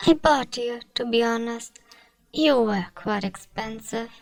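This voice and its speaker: Woman acting a genteel high-voiced vampire lady. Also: a little slow, vocal fry, darkish tone, no echo, recorded from a distance. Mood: candid, gentle. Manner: soothing, genteel, addresses listener as dear. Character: gentle wealthy female vampire, doting, genteel, possessive owner. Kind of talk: ASMR roleplay, soft scripted acting.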